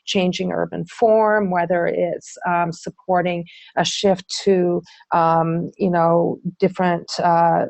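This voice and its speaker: A woman's voice: on the low side, little pitch movement, takes it slowly, vocal fry, hardly any pauses, darkish tone, no echo. A woman presenter answering audience questions in a webinar, speaking with many ums, uhs and restarts.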